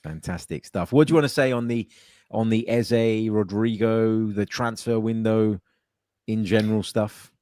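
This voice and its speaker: A man's voice: fairly low pitch, normal speed, mellow, no echo, studio quality. A man hosting a casual call-in podcast from his home office, chatting loosely with plenty of fillers and restarts. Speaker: podcast host.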